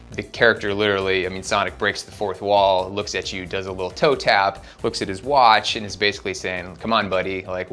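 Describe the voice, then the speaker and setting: A man's voice: sits low, bright, forceful tone. A man talking casually in an interview clip. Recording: interview clip with music underneath. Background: background music.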